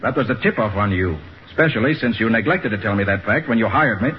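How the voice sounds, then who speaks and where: A man's voice: lowish voice, lively intonation, fast talker, natural voice. An actor playing a shrewd, confident private detective in a 1940s radio drama, assured as he lays out the facts. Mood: accusing. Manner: assured, explanatory. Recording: muffled, noisy old radio recording.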